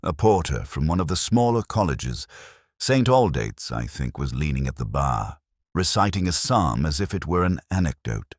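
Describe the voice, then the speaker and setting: A man narrating a literary detective story in the first person as a world-weary inspector, measured, reflective and melancholy. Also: a bass voice, sing-song, dry recording, studio quality.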